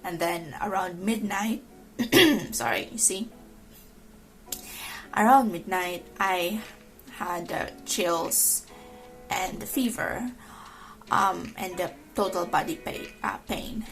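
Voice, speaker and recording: A young woman, an ICU nurse, talking slowly and hesitantly from her couch at home, her voice rough from a dry cough. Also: fairly low pitch, fairly bright tone, faint music behind, poor audio. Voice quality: rough, hoarse-edged voice. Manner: slow, hesitant, many ums and restarts. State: recovering from illness, dry cough. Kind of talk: casual vlog monologue.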